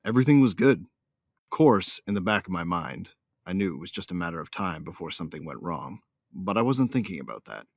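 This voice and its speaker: A man's voice: low-pitched, big pitch swings, brisk, vocal fry, no room sound, studio quality, full character voice. A man playing a cocky, hot-tempered bounty hunter who tells his own story in a brash, colloquial, hard-boiled way. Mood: smug, easygoing. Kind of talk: first-person dramatised audiobook narration.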